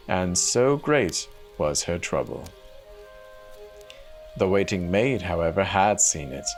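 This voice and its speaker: A man telling a fairy tale as a dramatic scripted narration, measured and formal. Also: sits low. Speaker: storyteller. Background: soft music.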